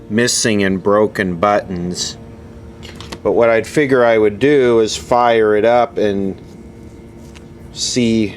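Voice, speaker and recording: A man giving a casual, unscripted, matter-of-fact repair demonstration at a workbench, recorded on a camera microphone. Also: fairly low pitch. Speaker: vintage radio repair hobbyist presenting. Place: indoor workshop at a workbench. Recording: noisy amateur camera audio.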